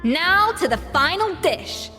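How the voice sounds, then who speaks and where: A woman voicing a proud, blunt young female chef with a crisp, haughty manner in an anime game. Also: extremely high pitch, big pitch swings, slow pace, pushed and bright, soft background music, exaggerated voice. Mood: measured approval.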